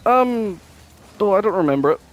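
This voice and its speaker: A man's voice: sits high, animated, normal speed, poor audio. A man, probably in his twenties, chatting casually and informally with plenty of swearing as a podcast co-host. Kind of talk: casual chat.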